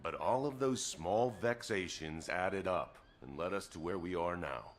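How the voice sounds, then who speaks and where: Kyle, a deep-voiced soldier. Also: male voice, lively intonation, measured pace, slight background noise. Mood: encouraging. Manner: firm, plain-spoken, encouraging. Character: steadfast male soldier pilot, brisk. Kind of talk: game cutscene dialogue.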